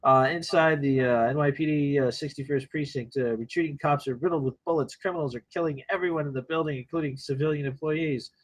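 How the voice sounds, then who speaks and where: A man narrates the action of a screenplay at a table read. His voice sits a little high, and he delivers terse, clipped phrases in the present tense. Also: steady tone, brisk, few pauses, poor audio. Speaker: narrator reading stage directions.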